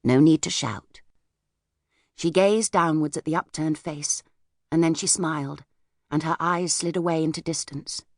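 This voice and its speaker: A woman's voice: sits low, steady tone, normal speed, no echo, clean studio sound. A woman reading scripted children's fiction as an audiobook narrator.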